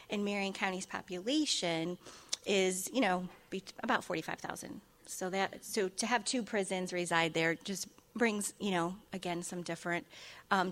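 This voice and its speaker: Woman, soft-spoken, conference presenter, frequent ums, from Ohio. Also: medium pitch. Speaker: school district director presenting a conference session. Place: conference room.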